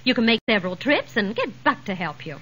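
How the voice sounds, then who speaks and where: A woman's voice: on the high side, very animated, fairly quick, fairly bright tone. An American woman acting a patient, sweetly exasperated middle-aged wife and mother in a 1940s radio comedy. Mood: practical. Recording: old muffled 1940s radio recording, noisy.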